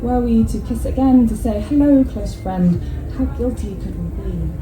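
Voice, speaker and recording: A woman with a husky, slightly hoarse voice, speaking informally as a poet at a live festival reading. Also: fairly high pitch, normal speed. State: hoarse, voice partly gone. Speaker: poet reading their own work at a festival. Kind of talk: live poetry reading with casual spoken introduction. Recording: echoey live event with music behind.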